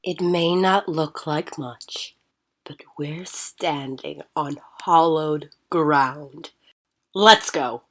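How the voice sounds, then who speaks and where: An actress playing a stern, secretive and impatient alien treasure hunter in an audio drama, speaking in a curt, commanding manner. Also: female voice, sits low, very slow, fairly bright tone, full character voice. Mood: solemn, impatient.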